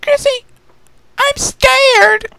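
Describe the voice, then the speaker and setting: Casting: a small scared insect-pony little sister in a storybook, squeaky high, timid, pleading. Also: steady tone, very slow, gravelly, pushed and bright, dry recording, exaggerated voice, low-quality recording.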